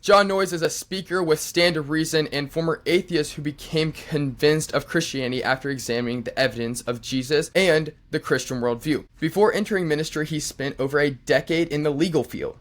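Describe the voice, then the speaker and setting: A man's voice: a little high, a little fast, few pauses. A young man co-hosting a podcast, upbeat and enthusiastic as he welcomes and introduces a guest. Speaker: podcast co-host.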